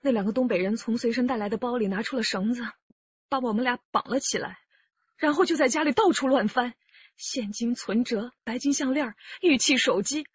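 精明年轻妻子, 高音, 气声, 广播剧腔, 干声录音. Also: female voice, fairly high pitch, brisk, husky, no echo, dull audio. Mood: shaken. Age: young adult. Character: shrewd young Shanghai wife, robbery victim. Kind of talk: radio drama acting.

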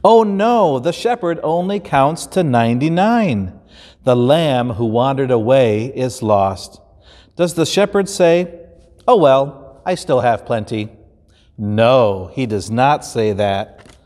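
A man in his fifties with an American accent, a pastor reading a children's story aloud in an expressive, deliberate way. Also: medium pitch. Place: large indoor church stage. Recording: roomy hall with long reverb.

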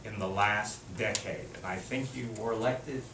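A man's voice: fairly low pitch, moderate pace. An older man, hosting a class lecture and introducing a guest in a casual, friendly way, with fillers. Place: small classroom. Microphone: far camera. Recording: echoey room from far off, noisy.

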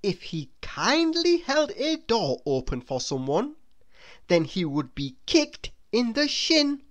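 Slow, very animated woman storyteller. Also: a little high. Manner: expressive, deliberate storytelling. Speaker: storybook narrator. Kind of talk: children's read-aloud, scripted narration.

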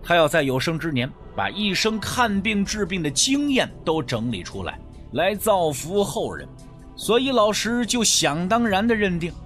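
A man's voice: high voice, sing-song, moderate pace, a bit bright, soft background music. A man narrating a scripted suspense story as an audiobook, with a storyteller's dramatic phrasing.

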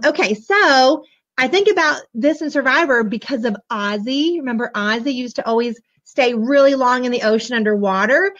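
A bubbly woman in her forties talks in a hoarse, high voice while chatting with her live viewers about a children's book. The webcam audio is dull and lo-fi. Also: a bit bright. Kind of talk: casual live book show-and-tell. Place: small room indoors, decorated backdrop. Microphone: webcam at desk.

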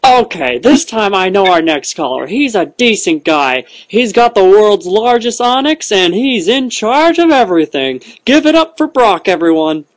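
A man's voice: high-pitched, very animated, moderate pace, few pauses. A man playing a flippant, clueless young radio host on a comedy call-in show, casual and bumbling. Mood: flustered.

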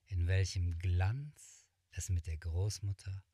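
Middle-aged man, fairy-tale reading, slow, husky, dry close sound. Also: deep voice, fairly even intonation, no echo. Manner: slow, hushed, dropping to whispers. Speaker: storyteller. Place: small cluttered room, indoors.

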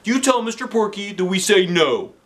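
A man voicing a frantic school principal, shouting indignantly in a high squeaky voice. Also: expressive, moderate pace. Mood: outraged.

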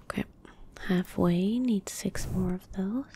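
A young woman gaming streamer in soft-spoken ASMR style, murmuring to herself in a breathy whisper at a close microphone. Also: mid-range voice, slight background noise. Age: young adult. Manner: unhurried, murmuring to herself as she plays. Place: indoor room at a gaming setup. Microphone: close desk microphone.

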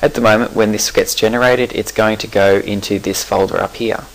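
Low-voiced man narrating a software tutorial. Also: brisk, rough recording. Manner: relaxed explanatory, with fillers and self-corrections. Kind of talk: screencast software walkthrough.

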